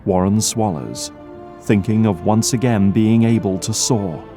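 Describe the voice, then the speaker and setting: Low, mellow male narrator. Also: unhurried, faint music behind. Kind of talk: scripted dramatic narration.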